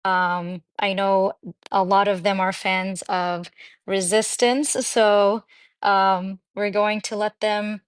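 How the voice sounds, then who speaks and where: A woman's voice: mid-range voice, clear, resonant tone, no echo. A woman hosting a casual live panel stream, welcoming guests and reading introductions with frequent "um" fillers.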